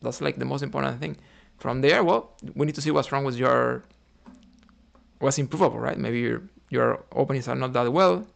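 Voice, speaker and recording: A man's voice: medium pitch, moderate pace, vocal fry. A man from Cuba streaming chess, thinking aloud about his moves, often adding "right?" and chatting with viewers. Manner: chats with viewers between moves. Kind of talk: live chess commentary while playing.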